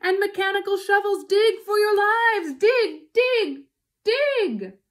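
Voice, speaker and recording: A woman's voice: extremely high pitch, wildly swooping pitch, moderate pace, darkish tone, pitched above the performer's own voice, exaggerated voice. A woman voicing a frantic, fatherly male fox in a storybook, exclaiming urgently to rally his family.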